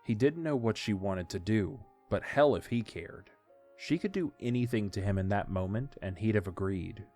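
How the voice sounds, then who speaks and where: A man's voice: fairly low pitch, lively intonation, moderate pace, warm tone. A man reading scripted story narration as the narrator of an audio drama. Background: faint music.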